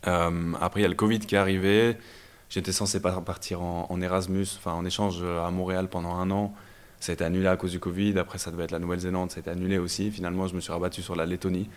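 A young man from Belgium, a startup founder telling his story in a casual interview, relaxed and hesitant with many fillers. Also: low voice, racing. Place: startup incubator office.

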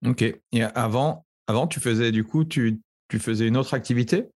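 A man's voice: medium pitch, moderate pace, a little mellow, no room sound, studio quality. A man hosting a fitness business podcast, asking questions with frequent repeats and fillers. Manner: hesitant, repeats words while asking. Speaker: podcast host. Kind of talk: casual interview questions.

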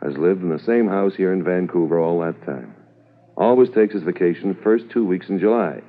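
Brisk police commissioner, really low voice, old radio drama, muffled narrow sound. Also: male voice. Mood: businesslike, briefing. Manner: authoritative, methodical. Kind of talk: 1950s radio drama acting.

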